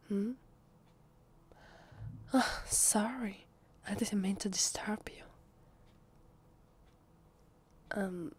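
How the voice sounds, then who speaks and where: A woman with an Italian accent playing a shy, awkward female vampire who poses as human, in a soft, half-whispered, hesitant ASMR roleplay voice. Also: medium pitch, breathy edge. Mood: flustered, nervous. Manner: hesitant, flustered, stammering. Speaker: voice actress.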